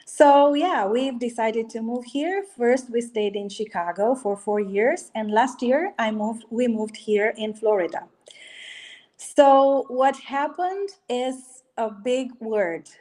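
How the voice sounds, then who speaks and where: A woman speaks English as an in a fairly high voice. She talks hesitantly, searching for words with plenty of fillers, and laughs easily as an interview guest. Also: lively intonation, takes it slowly, warm tone. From Romania. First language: Romanian. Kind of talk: casual interview.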